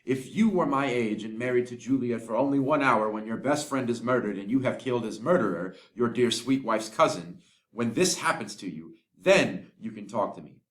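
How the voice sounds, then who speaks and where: A man's voice: mid-range voice, lively intonation, moderate pace, natural voice. A man voicing a lovesick, despairing young man who speaks with passion in a scripted audio drama. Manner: impassioned, pleading. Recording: echoey room.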